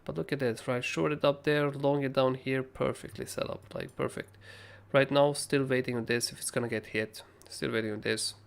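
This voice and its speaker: A young man with a hoarse, raspy voice, casually talking through trading charts as a livestream host, rambling and swearing freely. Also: medium pitch, fairly even intonation, moderate pace, few pauses. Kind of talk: casual live chart analysis.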